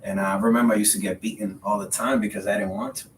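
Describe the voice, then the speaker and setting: Low-voiced man, echoey and distant. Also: level tone, fast talker. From Dominican Republic. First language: Spanish. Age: 30s. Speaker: podcast guest, realtor. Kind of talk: casual interview conversation. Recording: roomy echo, distant mic, faint rumbling noise.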